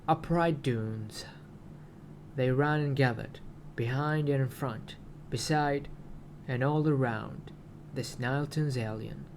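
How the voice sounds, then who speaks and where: A man reciting his own poetic story in a solemn, deliberate manner, with broken phrases and long pauses. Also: mid-range voice, slight background noise. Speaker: writer reading own story.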